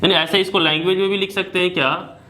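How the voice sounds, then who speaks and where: An Indian man teaching a maths lesson, mixing Hindi with slowly dictated English notation and often restarting phrases. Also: high voice, steady tone, pushed and bright, a little hiss or hum.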